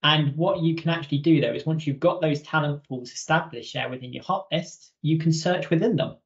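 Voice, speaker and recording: A young woman giving an informal software tutorial as a webinar trainer. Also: low voice, a little fast. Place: indoors on a video call. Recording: dull video call audio.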